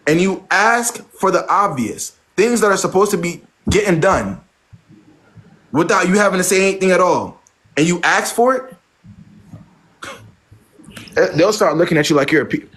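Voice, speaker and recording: A man's voice: high-pitched, animated, moderate pace, long pauses, a bit bright, rough recording. Blunt, profane man lecturing his viewers. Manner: blunt, repetitive, preachy and profane. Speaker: live stream host giving dating advice. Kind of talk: casual live stream advice.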